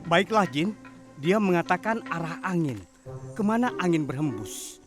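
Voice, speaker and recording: A flustered cartoon dad. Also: female voice, lowish voice, animated, unhurried, music in the background. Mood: worried. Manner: anxious, hesitant. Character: flustered, eager family father on a hike. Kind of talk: dubbed cartoon voice acting.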